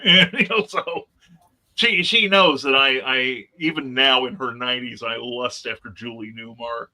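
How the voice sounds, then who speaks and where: A man's voice: mid-range voice, unhurried, pushed and bright, low-quality recording. A middle-aged man telling casual anecdotes as a panel guest, in a wry, joking way, acting out what people said. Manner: wry, joking, acts out quotes.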